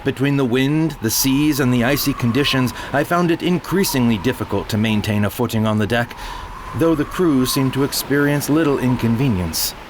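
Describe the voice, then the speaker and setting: A man gives a measured, solemn first-person reading of a period sea tale in a one-man audio drama. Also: mid-range voice, noisy background. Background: music.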